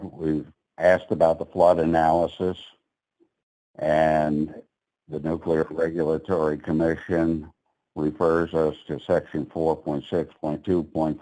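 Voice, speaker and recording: A man with a very deep, mellow voice speaks at a public meeting. He is blunt and openly sarcastic about the agency. His pitch sits low throughout. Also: low-quality recording. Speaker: petitioner at regulatory meeting.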